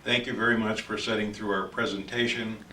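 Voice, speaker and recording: A man's voice: on the low side, flat delivery, brisk, pushed and bright. An older man speaking to camera as a company representative, closing a presentation in an office. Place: office with large windows, indoors. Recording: roomy, distant mic, faint noise.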